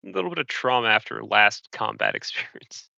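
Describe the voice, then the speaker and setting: A man chats casually as a player in a tabletop game. His voice is very bright with a gravelly fry and animated pitch, captured close and dry with slightly dull fidelity. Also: brisk, no room sound. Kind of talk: casual game chat, speaking for his character in third person.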